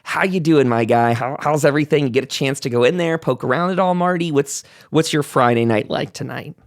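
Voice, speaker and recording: A man hosting a gaming livestream round table in a talkative, informal, animated way. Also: a little high, a little fast.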